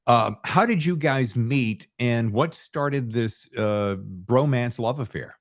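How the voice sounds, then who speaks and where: Guy hosting a podcast, chatty and informal, jokey, wanders into little asides, medium pitch. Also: takes it slowly. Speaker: podcast host.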